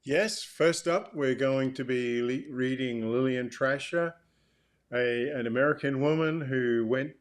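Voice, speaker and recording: Male author-host, medium pitch, informal explanatory delivery, measured with hesitant phrasing.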